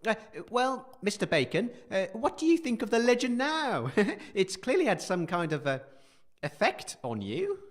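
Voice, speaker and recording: An eager male radio presenter played by an actor in a comedy adventure, speaking in a high voice with wildly bouncing intonation. He is naive and earnest, a bit hesitant as he addresses his listeners. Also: huge pitch swings, unhurried, full character voice. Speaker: radio host. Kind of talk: scripted audio comedy, live-broadcast narration.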